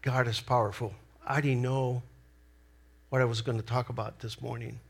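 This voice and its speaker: A middle-aged man from El Salvador with a Salvadoran Spanish accent, whose first language is Spanish, delivering a church sermon in an earnest, deliberate way. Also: mid-range voice, level tone, creaky, breathy edge. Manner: earnest, slow and deliberate with pauses. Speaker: lay preacher.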